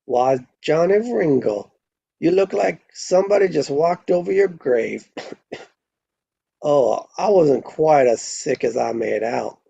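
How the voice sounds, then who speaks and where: A man's voice: a little high, animated, slow pace, vocal fry, dark tone, slightly rough, no echo, voice pushed up, heavily acted. A man voicing a sickly, sardonic, coolly defiant gunslinger in a western table read, on a dull microphone.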